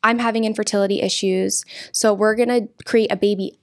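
A woman's voice: medium pitch, steady tone, fairly quick. A young woman guest on a studio podcast, speaking earnestly and emphatically about her faith, close to a desk microphone. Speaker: pro-life activist guest. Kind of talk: podcast interview. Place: studio with green curtains. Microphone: desk podcast mic on boom arm.

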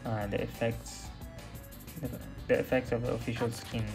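A young man giving casual gameplay commentary in a hesitant, halting way. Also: medium pitch, flat delivery, gravelly. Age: young adult. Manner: hesitant, halting delivery with trailing phrases. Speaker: gaming video creator. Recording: loud music and game audio behind. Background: loud music.

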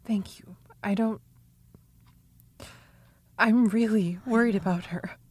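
A woman's voice: mid-range voice, fairly even intonation, takes it slowly, a little mellow, slightly rough. A middle-aged woman playing a worried, protective mother in a horror audio drama. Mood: subdued, worried. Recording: music under the voice.

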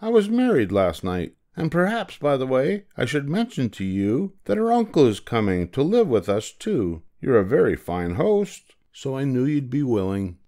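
Man putting on a cheeky high-pitched little bird. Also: sing-song, moderate pace, hardly any pauses, dark tone, close to an everyday voice. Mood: chatty, presumptuous. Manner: chirpy, presumptuous. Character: cheeky, pushy small male zinazoo bird.

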